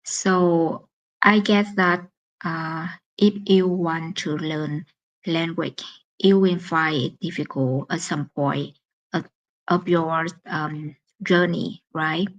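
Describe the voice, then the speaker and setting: A woman with a Vietnamese accent, a native Vietnamese speaker hosting a casual solo live stream in English, halting and searching for words with many pauses. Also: sits low, steady tone, lo-fi sound.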